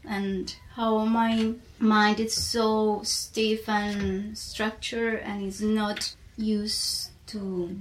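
A woman with a Colombian accent and Spanish as her first language talks in a casual, reflective way. She has a mid-pitched voice and often searches for her words, with faint noise in the recording. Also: level tone, extremely slow, hardly any pauses, rough recording. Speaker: podcast guest, poet and teacher.

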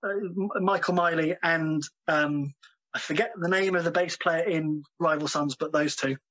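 A man's voice: fairly high pitch, fairly even intonation, moderate pace, a bit bright, muffled recording. A man, a drummer answering questions in a casual interview. He hesitates often, fills gaps with ums and laughs easily.

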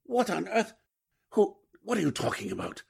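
A stuffy gentleman from a forties radio drama. His voice is husky and gravelly, blustering in old-fashioned phrases with big pitch swings. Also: wildly swooping pitch, moderate pace, full character voice. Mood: astonished. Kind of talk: 1940s radio drama acting.

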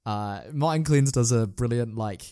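A man co-hosting a podcast in a casual, keen, slightly halting way. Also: medium pitch, dark tone, clean tone, no echo. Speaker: podcast co-host. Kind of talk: casual chat.

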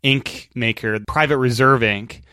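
A man's voice: mid-range voice, a little slow, sharp tone, clear, resonant tone, no room sound. American guy doing podcast host talk, chatty and conversational, pretty dry close sound. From United States. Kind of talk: podcast intro and interview chat.

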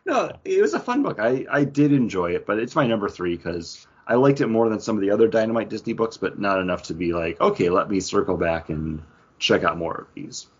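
A man's voice: on the low side, animated, brisk, slightly rough, highs cut off. A man talking casually and conversationally as a co-host of a comic book review podcast.

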